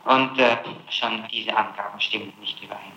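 A man questioning a suspect as public prosecutor, probing and halting, often tacking on tag questions. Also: on the low side, fairly even intonation, sharp tone. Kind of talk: interrogation. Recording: noisy echoey archival interrogation tape.

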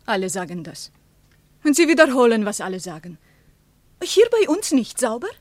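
A woman's voice: on the high side, sing-song, moderate pace, no room sound. An actress playing a provocative Soviet resort hostess in her early twenties, speaking broken German. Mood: needling. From North Caucasus, Russia. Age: early 20s. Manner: needling, leading questions. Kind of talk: 1950s radio play acting.

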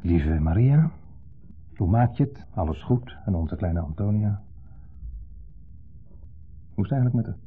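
A man's voice: fairly low pitch, expressive, a little slow, pauses often, breathy edge, soft background music. An actor in a 1970s radio play voicing an insecure, needling man with a Netherlands Dutch accent, who speaks theatrically and dwells pointedly on his words. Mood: wistful, restrained. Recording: muffled, band-limited 1970s radio recording.

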